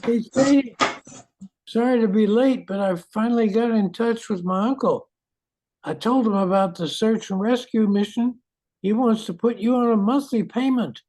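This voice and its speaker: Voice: medium pitch, mellow, rough recording. A performer reading a scripted part in an amateur radio play.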